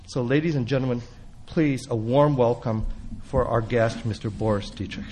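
A man's voice: mid-range voice, normal speed, dark tone, slight background noise. A man acting as host, formally introducing a guest speaker to an audience. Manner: formal, reads a prepared introduction. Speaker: event host.

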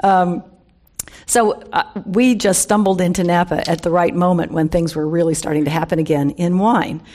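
Older American woman telling stories, lower-pitched. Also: fairly even intonation, normal speed, a little mellow. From San Francisco Bay Area, USA. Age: 70s. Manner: conversational, humorous, wry asides, emphatic key words. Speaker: guest speaker, wine industry veteran. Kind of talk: informal storytelling talk to a live audience.

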